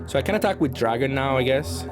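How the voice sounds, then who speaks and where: A man's voice: medium pitch, lively intonation, fast talker. A man giving casual gameplay commentary, thinking aloud in a hesitant way as he plays. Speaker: card game player presenting match commentary. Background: music.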